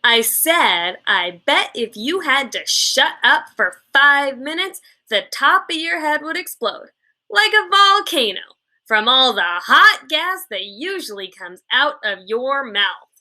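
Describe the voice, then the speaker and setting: A woman's voice: high-pitched, very animated, takes it slowly, sharp tone, full character voice. A woman voicing an exasperated, combative fifth-grade boy in a storybook, with blunt, emphatic retorts. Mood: defiant.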